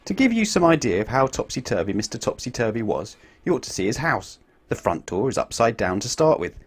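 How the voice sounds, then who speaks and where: A man reading a children's storybook aloud in an expressive way, putting questions to his young listeners. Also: medium pitch, breathy edge. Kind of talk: animated children's storybook narration.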